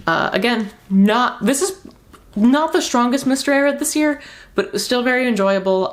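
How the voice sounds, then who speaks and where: A woman's voice: on the low side, gravelly. A young woman giving a casual book review as a vlogger, speaking in an informal, rambling and emphatic way.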